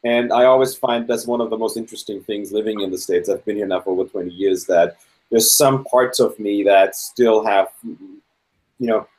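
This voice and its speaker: A man's voice: lowish voice, normal speed, a little mellow, rough recording. Austrian-born man hosting a live interview. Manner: curious, personal, asks probing questions. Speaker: host. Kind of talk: casual live video interview. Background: fire engine passing.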